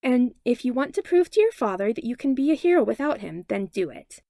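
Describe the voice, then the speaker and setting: A woman voicing a protective adult mother who speaks gently and soothingly to a child. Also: on the high side, very animated, a little fast, gravelly, dry recording. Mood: firm, reassuring.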